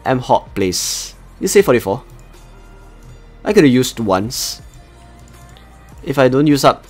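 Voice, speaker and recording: A man's voice: mid-range voice, sing-song, slow pace, soft background music. A man from Singapore with a Singaporean accent, chatting casually to viewers as he hosts a live game stream. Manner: chatty, talks to viewers as he plays. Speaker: livestream host.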